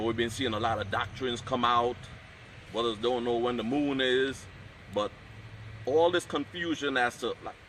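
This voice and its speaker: A man teaching a Hebrew class, explaining in a measured way and reading scripture aloud. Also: medium pitch, fairly bright tone, slight background noise, poor audio. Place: outdoor training camp.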